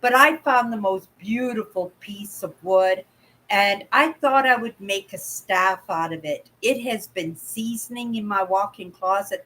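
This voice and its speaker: A woman's voice: medium pitch, a bit bright, rough recording. A Canadian woman in her sixties from Vancouver, chatting casually with viewers on a livestream from her home, rambling and reading out their comments. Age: 60s. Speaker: livestream host. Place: home room, indoors. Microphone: webcam at desk.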